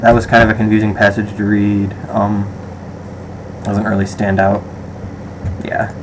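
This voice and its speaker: A young man with an upstate New York American accent talks casually to the camera, rambling with frequent ums and restarts. His voice sits a little low. A faint hiss of background noise runs under the recording. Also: flat delivery, measured pace. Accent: western/upstate New York American. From western New York, USA. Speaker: vlogger. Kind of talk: casual survey vlog.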